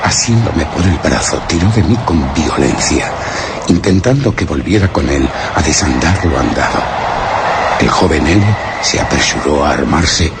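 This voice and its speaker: A man's voice: rumbling low, animated, measured pace, heavy background noise, full character voice. An actor from a radio horror play, with a Spanish accent from Spain, playing a grave narrator who recounts his expedition in solemn, theatrical, ornate phrases. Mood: urgent dread.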